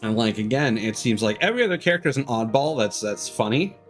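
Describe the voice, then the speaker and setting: A man's voice: mid-range voice, lively intonation, quick pace, faint music behind. A man giving casual, rambling and candid live-stream commentary as a game streamer, with a dryly critical manner.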